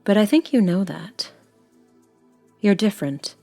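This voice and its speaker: A woman's voice: mid-range voice, expressive, unhurried, vocal fry, warm tone, no room sound, faint music behind. A woman playing a lonely, creepy faceless old woman in a scripted audio drama, speaking an intimate, menacingly tender monologue. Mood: intense, creepy.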